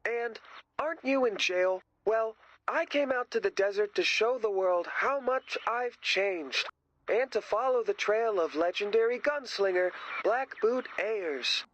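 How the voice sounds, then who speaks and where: A man's voice: high-pitched, animated, measured pace, a bit bright, low-quality recording. A man playing a cocky, self-promoting rock drummer in a video game, presenting like a grandiose TV host with dramatic emphasis. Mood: dramatic, intriguing. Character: cocky, self-promoting male rock drummer hosting his own show.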